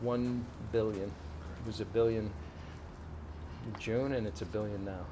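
A man answering reporters' questions at a press event, halting and full of fillers and restarts. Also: on the low side, steady tone, noisy background. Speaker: casino developer spokesman.

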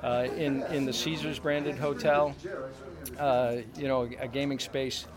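A man speaks at a press conference, hedging with frequent uhs as he answers questions. His voice is mid-pitched. A crowd murmurs clearly in the room around him. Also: flat delivery, measured pace. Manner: hesitant, many filler words. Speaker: casino proposal executive answering press.

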